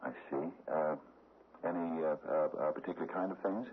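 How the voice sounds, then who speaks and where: A timid cost analyst who stammers and trips over his words. His voice sits deep and low. It comes through a thin, phone-like broadcast with a faint hiss. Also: steady tone, a little fast. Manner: stammering, hesitant, full of uh's. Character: nervous, stammering middle-aged cost analyst. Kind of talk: 1970s radio drama acting.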